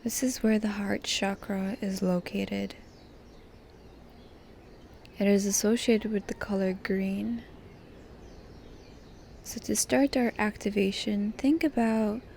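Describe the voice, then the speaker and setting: A woman hosting a guided meditation in a calm, gentle, soft voice that turns breathy at times, pausing between short phrases. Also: medium pitch, slight background noise. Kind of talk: guided meditation, soft slow soothing delivery.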